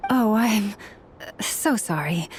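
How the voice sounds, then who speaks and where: A woman playing a gentle, solemn priestess in a video game, speaking softly in formal, measured phrases over background music. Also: mid-range voice, expressive, slight background noise. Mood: hesitant, tender. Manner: formal, measured, soft-spoken. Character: gentle, solemn, courteous priestess of a moon order.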